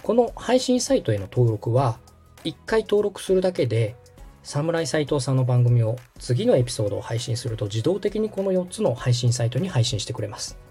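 Podcast tutorial host: mellow, slightly husky, explanatory, wide-moving intonation over background music. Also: medium pitch, very animated, moderate pace. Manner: explanatory, checks the listener's understanding. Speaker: host and radio director giving a tutorial.

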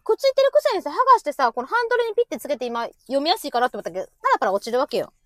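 A woman's voice: sits high, sing-song, very fast, dry recording, studio quality. A woman with a Kansai accent chatting casually as co-host, bantering and poking fun at herself. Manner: bantering, self-deprecating. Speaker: co-host of a chat show. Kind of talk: casual chat. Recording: music playing behind the voice. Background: music.

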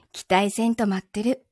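A woman acting in a Japanese voice drama as a calm, understanding, quietly lonely girlfriend, speaking gently with a Kansai accent. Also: medium pitch, no echo. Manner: composed, gentle, reassuring.

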